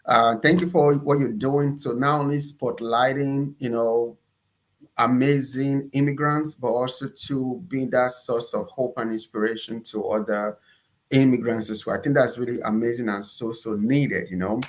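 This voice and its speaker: A man with a Nigerian accent and a medium-pitched voice talks as a guest in an interview. He tells his personal story conversationally, leaning on key words and repeating them for emphasis. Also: fairly even intonation, normal speed. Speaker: interview guest, entrepreneur and pastor.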